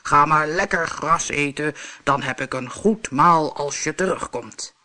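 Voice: mid-range voice, unhurried, sharp tone. A reader voicing a greedy, one-eyed green monster under a bridge who growls and roars orders in a storybook tale. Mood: threatening. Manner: growling, roaring, bossy.

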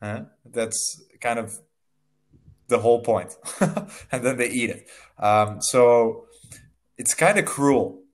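A young man speaks into a headset from a small room, guiding a student through an online English lesson. His voice sits at an ordinary middle pitch, and he talks slowly and kindly, laughing now and then. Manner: friendly, laughs often, slow careful phrasing. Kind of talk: casual video-call lesson.

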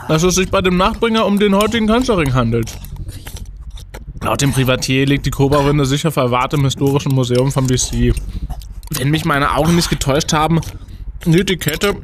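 An actor playing a young student detective, an earnest, self-important leader, in an audio drama. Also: male voice, on the high side, a little hiss or hum, natural voice. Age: young adult. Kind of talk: German audio drama acting.

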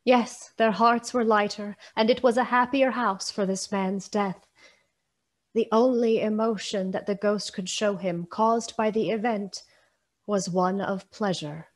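A woman narrating a radio play in a solemn, literary, dramatic storytelling style with deliberate phrasing. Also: medium pitch, fairly even intonation.

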